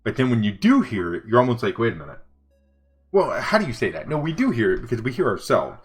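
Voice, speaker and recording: A middle-aged man with a deep voice, chatting casually on a livestream as he draws and answers chat. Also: fast talker, slightly rough. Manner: relaxed, rambling, reads and answers chat. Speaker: livestreaming vector artist. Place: home studio desk.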